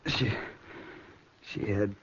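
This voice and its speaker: An actor playing a wounded, dying outlaw in a 1950s radio western, weak and halting but stubborn. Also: male voice, fairly bright tone. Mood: weak defiance. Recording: muffled old radio recording.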